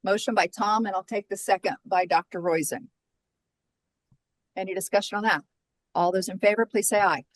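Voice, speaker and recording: A woman chairing a formal board meeting over a video call, speaking in a measured, procedural way. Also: mid-range voice, no echo.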